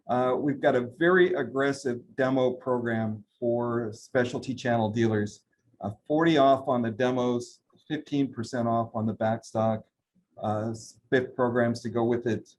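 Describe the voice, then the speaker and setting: A man, a brand president, giving a trade interview and running through product lines with steady talk and frequent fillers. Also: mid-range voice, slight background noise, low-quality recording. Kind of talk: business interview, product rundown.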